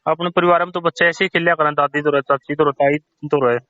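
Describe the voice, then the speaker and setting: A woman's voice: low voice, steady tone, fast talker, lo-fi sound, crowd noise. A woman asking questions as a local news interviewer.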